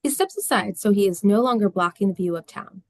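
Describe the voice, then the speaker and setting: A woman's voice: medium pitch, animated, fairly quick, dry recording. A woman narrating the stage directions of a screenplay at a table read.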